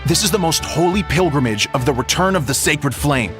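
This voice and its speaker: A flustered young man in a video game, played by an actor, somewhat high and very animated. He sounds sceptical and stumbles over his words. Music sits behind him. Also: a little fast, close to an everyday voice. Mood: incredulous. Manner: stammering, incredulous.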